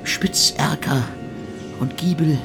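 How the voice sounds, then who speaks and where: A creaky, sardonic suffering composer. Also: male voice, a little high, slow pace. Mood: excited, theatrical. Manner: mocking, savouring archaic phrasing, theatrical. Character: suffering male composer, ironic, sardonic, morbidly theatrical. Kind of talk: literary radio play monologue. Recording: music behind the voice. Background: instrumental music.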